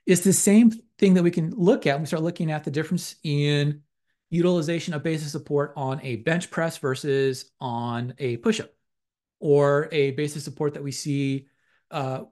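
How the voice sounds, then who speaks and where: A man's voice: a little high, a little mellow. A man talks through a slide lecture in a slightly raised voice. He is methodical and academic, circling back over the same technical terms as he explains. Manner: methodical, repetitive academic phrasing. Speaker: exercise science lecturer.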